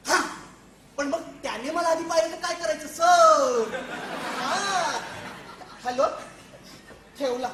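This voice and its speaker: A woman playing a lonely male soldier in a comic stage play, excitable and rambling, stammering and repeating words. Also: high voice, background noise, close to an everyday voice. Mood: flustered, eager. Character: jittery, lonely, talkative male soldier at a forgotten post. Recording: echoey stage recording with music.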